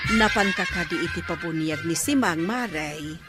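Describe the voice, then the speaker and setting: A woman's voice: on the low side, normal speed, over loud music, natural voice. A woman with an Ilocano accent playing a disapproving, gossipy village neighbour in a comedy drama. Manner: gossipy, reproachful.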